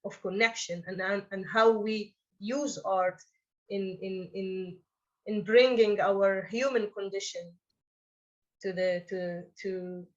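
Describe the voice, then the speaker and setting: A woman speaks in a middle-pitched voice, halting and repeating small words. The audio sounds slightly dull and lo-fi. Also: fairly even intonation. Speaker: director on a festival panel. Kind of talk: Q&A answer.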